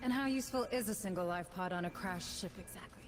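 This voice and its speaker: A woman's voice: medium pitch, fast talker, soft background music, natural voice. A woman playing a determined, earnest colonial administrator in a video game cutscene, speaking persuasively. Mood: practical. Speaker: game voice actor. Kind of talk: dramatic game cutscene dialogue.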